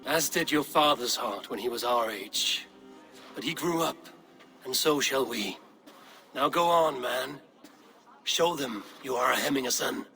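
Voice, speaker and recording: Steady young Viking warrior, firm and reassuring, with a bright, clear voice. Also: male voice, medium pitch, measured pace, pauses often, soft background music.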